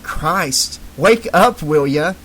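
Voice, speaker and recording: A man voicing a bossy, crude teenage girl who directs a homemade film with brisk, gleeful commands. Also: a little high, animated, a bit bright. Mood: bossy directing.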